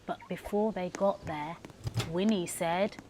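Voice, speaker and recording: A woman's voice: on the low side, slow pace, darkish tone, slight background noise. A woman professional storyteller narrating a children's story expressively, leaning into the sound words. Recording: music behind the voice. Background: music.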